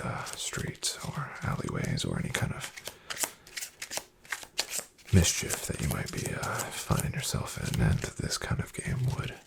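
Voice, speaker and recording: A man playing a shady, wryly menacing back-alley casino dealer in a soft-spoken, breathy ASMR roleplay, with an unhurried drawl. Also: extremely low pitch, background noise, pitched below the performer's own voice, exaggerated voice. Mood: wry warning. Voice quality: whispery, breathy, gravelly. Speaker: card game dealer in a roleplay.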